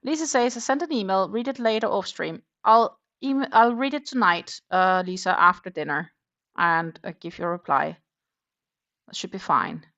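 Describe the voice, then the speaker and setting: A woman's voice: mid-range voice, animated, normal speed, a bit bright, muffled recording. Woman chatting while crocheting. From Denmark. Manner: chatty, thinking aloud, reads viewer comments, counts stitches under her breath. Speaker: crochet livestream host. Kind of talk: casual chat while crocheting.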